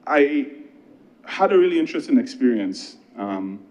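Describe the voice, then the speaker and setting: A man with a Barbadian accent delivering a parliamentary speech as a government minister, conversational and earnest, with emphatic pauses. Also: mid-range voice, sing-song, a little mellow. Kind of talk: political speech in parliament with anecdotes.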